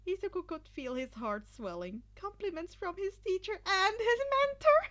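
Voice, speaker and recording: Female amateur story narrator, high, bright voice, dramatic yet informal. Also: normal speed. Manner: dramatic, expressive. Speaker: podfic reader. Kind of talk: expressive story narration with casual asides.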